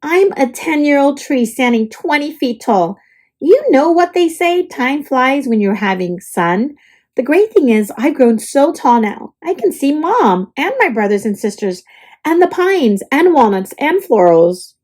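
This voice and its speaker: A woman's voice: high-pitched, very animated, unhurried, a little mellow. A woman voicing a perky, dramatic young oak tree telling its storybook diary, with theatrical exclamations. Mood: proud, delighted. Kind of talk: storybook diary read-aloud.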